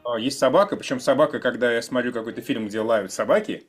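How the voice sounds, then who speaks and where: A man's voice: mid-range voice, fast. A man in a casual podcast discussion, hesitantly searching for his words. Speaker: podcast participant. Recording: music in the background. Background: music.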